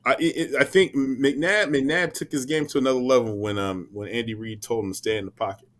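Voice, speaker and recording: A man's voice: medium pitch, a little fast. A man in his thirties from Philadelphia, a guest on a casual podcast, telling stories with slang and acting out what people said. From Philadelphia, USA. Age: 30s. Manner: animated storyteller, acts out quotes, slangy.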